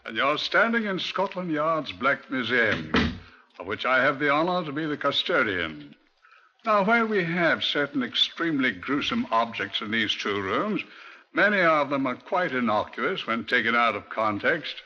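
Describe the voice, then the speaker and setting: An actor playing a genteel, proud British police museum custodian in a 1950s radio drama, formal and precise. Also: male voice, medium pitch, big pitch swings, moderate pace, pushed and bright, full character voice. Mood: solemn, explanatory. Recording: dull old radio recording.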